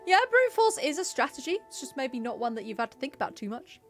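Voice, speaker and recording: A woman streamer chats casually as she plays, dropping informal, jokey asides. Her voice sits fairly high, and game music plays faintly behind her. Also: big pitch swings, fast talker. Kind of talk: live game stream commentary, casual chat.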